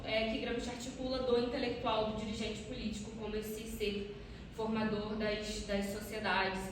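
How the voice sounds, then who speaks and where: A young Brazilian woman giving an academic research presentation as a doctoral student, partly off the cuff, with informal asides and tag questions. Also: mid-range voice. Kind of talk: academic conference presentation, semi-spontaneous. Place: echoey indoor room at academic conference. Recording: echoey room, distant mic, clear noise.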